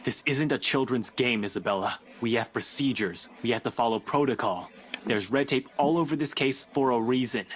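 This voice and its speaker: An actor playing a brusque, dismissive young police officer in a horror video game. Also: male voice, mid-range voice, moderate pace, a bit bright, a little hiss or hum, close to an everyday voice. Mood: irritated. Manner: curt, dismissive, exasperated.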